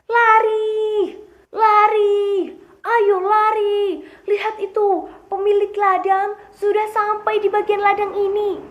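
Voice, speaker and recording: A woman voicing a bossy rabbit friend in a children's story, calling out excited, urgent commands. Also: very high voice, slow pace, lo-fi sound, pitched above the performer's own voice. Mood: alarmed.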